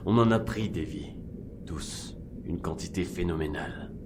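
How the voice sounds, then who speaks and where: An actor playing a sombre, remorseful dead killer's voice in an audio drama. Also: male voice, low-pitched, a little slow, background noise. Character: grim, remorseful dead killer's voice inside someone's head. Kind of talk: French audio drama acting.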